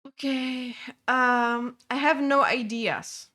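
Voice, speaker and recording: A young woman from Czechia with a Czech accent, chatting casually as she streams a game, halting with many fillers and restarts. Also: high-pitched, fairly even intonation, bright, forceful tone. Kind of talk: casual gameplay chat.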